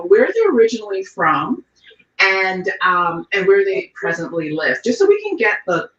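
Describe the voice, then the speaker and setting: A woman from Trinidad hosting a panel discussion, addressing her guests with affectionate names. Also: mid-range voice. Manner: warm-hearted and affectionate with guests. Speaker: panel host. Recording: echoey, distant, dull audio.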